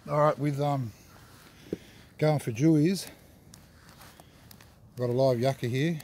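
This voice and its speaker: A man's voice: medium pitch, slow, dark tone, slight background noise. A young Australian man giving casual fishing tips on a harbour wharf at night, rambling and swearing now and then, filmed on a phone. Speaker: fishing vlogger. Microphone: phone camera held close.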